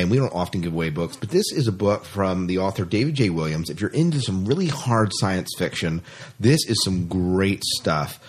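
A man co-hosting a fan podcast in casual chat, often repeating phrases for emphasis. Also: sits low. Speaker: podcast co-host.

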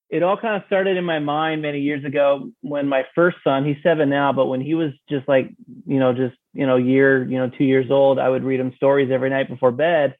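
Higher-voiced man on a tinny, phone-like line. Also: no room sound. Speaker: podcast guest, audio drama creator. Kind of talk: casual storytelling in interview. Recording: thin, narrow phone-like sound.

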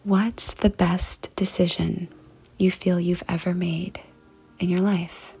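A woman leading a guided meditation and prayer in a soft, hushed, breathy and creaky voice, speaking deliberately with pauses. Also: fairly low pitch, level tone. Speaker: podcast host and meditation guide. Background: music.

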